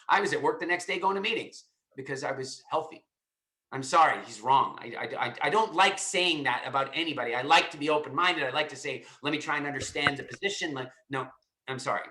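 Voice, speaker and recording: A man's voice: a little high, pushed and bright, reverberant, low-quality recording. A man hosting a live call, answering a caller in an emphatic way, often repeating himself and restarting his sentences. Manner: emphatic, repetitive, many restarts. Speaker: host. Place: lives in Estonia.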